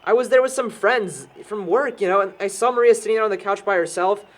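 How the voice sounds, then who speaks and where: A man's voice: sits high, fast, lightly acted. An actor playing a defensive, cynical young man in an amateur audio drama, arguing with sarcasm. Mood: defensive confessing. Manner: sarcastic, argumentative.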